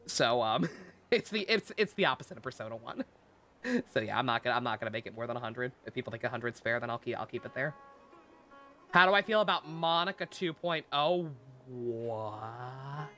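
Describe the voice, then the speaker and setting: A woman's voice: very deep voice, fast talker, a bit bright. A woman livestreaming a game, chatting casually to viewers in a rambling, joking way with repeated phrases. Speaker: game streamer. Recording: game music behind.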